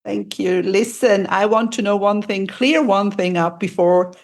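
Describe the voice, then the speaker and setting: A woman's voice: medium pitch, a little slow, creaky. Effusive woman podcast host. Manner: effusive, full of praise. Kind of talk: interview podcast chat.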